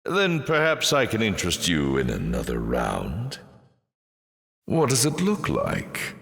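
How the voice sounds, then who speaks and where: An actor playing a sardonic fallen demon lord in a video game, with urbane, mocking delivery and dramatic flourishes. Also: male voice, low-pitched, wildly swooping pitch, slow pace, creaky, fairly bright tone, exaggerated voice. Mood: sly, wry. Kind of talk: theatrical video game character acting. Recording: long cavernous reverb.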